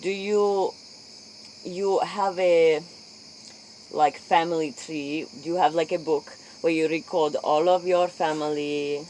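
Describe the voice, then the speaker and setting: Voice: medium pitch, steady tone, slow pace, darkish tone, poor audio. Spoof talk-show interviewer, mid-range voice, inquisitive, foreign-sounding broken English, faint night-time insect noise behind. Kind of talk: role-play spoof late-night interview.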